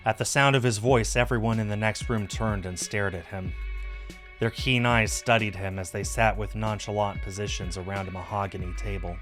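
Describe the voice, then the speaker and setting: Male streamer as visual novel narrator, low register, soft musical backing. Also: steady tone, normal speed, faint music behind. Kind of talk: scripted story narration.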